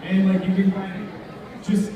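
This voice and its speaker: A young man with a high voice gives an informal motivational talk, speaking directly to his listeners. He is far from the mic in a very echoey space, with clear noise on the recording. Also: monotone, unhurried, muffled recording. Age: young adult. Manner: informal, chatty, addressing the audience directly. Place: large echoey room, before a live audience. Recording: very echoey room, distant mic, noisy.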